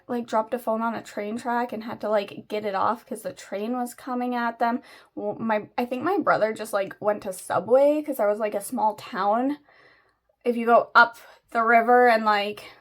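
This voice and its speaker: Young woman vlogging her makeup, a bit raspy, kinda high voice, rambly and chatty. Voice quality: slightly raspy. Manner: chatty, rambling with many fillers. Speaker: beauty vlogger. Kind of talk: casual chat while doing makeup.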